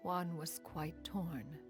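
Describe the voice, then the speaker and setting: A woman voicing a proud, ageless adeptus crane in a video game, speaking in a formal, archaic, motherly yet aloof manner. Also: low-pitched. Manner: haughty, formal, archaic, calling oneself "one". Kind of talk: scripted video game character dialogue. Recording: music under the voice.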